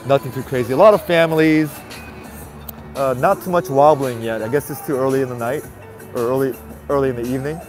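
Man, stuffy nose, casual live-stream chatter, mid-pitched, outdoor street, traffic and faint music behind. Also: brisk, darkish tone, low-quality recording. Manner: chatty, repeats greetings, talks to viewers. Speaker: vlogger. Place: city sidewalk outdoors at night. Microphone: handheld phone camera.

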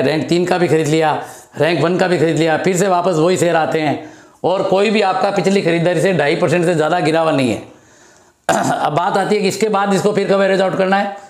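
A middle-aged man, slightly hoarse from a throat infection, teaching a finance lesson at a blackboard and repeating the key numbers step by step. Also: fairly high pitch, roomy sound. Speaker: stock market educator. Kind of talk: blackboard lesson and screen walkthrough. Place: indoor room with a blackboard.

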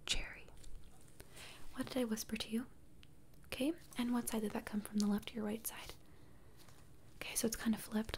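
Whispering woman in an ASMR medical roleplay. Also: on the high side. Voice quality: breathy, unvoiced whisper. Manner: gentle, reassuring, short step-by-step instructions. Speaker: ASMR performer playing a doctor.

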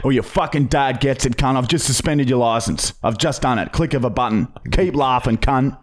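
A man's voice: mid-range voice, moderate pace, lightly acted. Man putting on an aggressive, sweary Australian police constable. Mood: threatening. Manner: menacing, sweary, insistent. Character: aggressive, foul-mouthed male police constable bullying a suspect. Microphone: close studio boom mic with headphones.

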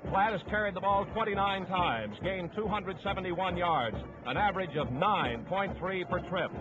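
A male sports announcer from 1950s radio with a high-pitched voice. He reads out player details in a formal, measured way. The broadcast is dull and lo-fi, with music playing clearly behind him. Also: expressive. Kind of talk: 1950s radio football commentary, reading starting lineups.

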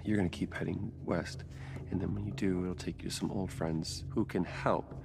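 A low male voice with heavy creak, playing a guilt-ridden middle-aged scientist in a game cutscene. He is subdued and halting, with clear ambient noise around him. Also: steady tone. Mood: subdued, resigned. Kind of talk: video game cutscene acting.

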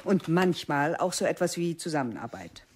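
A woman's voice: low voice, sing-song, moderate pace, slight background noise. A woman playing a stern, cold aging biology teacher in a radio play, speaking in a curt, commanding way. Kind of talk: radio play narration and acting.

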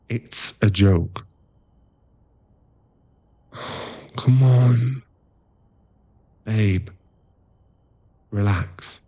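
A man playing a playful, teasing boyfriend in an intimate ASMR roleplay, talking haltingly and acting mock-offended and cajoling. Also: mid-range voice, gravelly, a little mellow, dry recording, muffled recording, full character voice. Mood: placating. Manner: teasing, halting, intimate one-sided chat.

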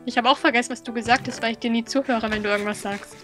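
A young woman with a high, bright voice co-hosts a game session. She talks casually and teasingly, with music behind her. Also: fairly even intonation, rapid-fire. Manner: playful, teasing. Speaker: Let's Play co-host. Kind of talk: casual joking gameplay chat.

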